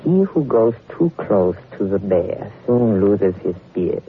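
A man's voice: lowish voice, very animated, slow pace. A dapper blackmailer from the Levant in a vintage radio play. He is oily and mock-polite, with a mannered, courtly way of talking. The recording is old and muffled, with faint noise. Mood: smugly sly. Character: sly, courtly male blackmailer from the Levant, dapper little man. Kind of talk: 1940s radio drama acting.

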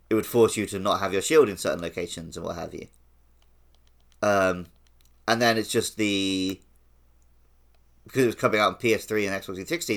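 Male podcast co-host, slightly low voice, informal and conversational, discussing video games casually. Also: fairly bright tone.

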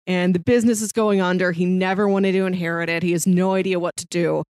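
A woman's voice: lowish voice, fairly even intonation, quick pace, a little mellow, no echo, studio quality. A woman in her thirties co-hosting a podcast, chatting casually in a playful, wry way. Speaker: podcast co-host.

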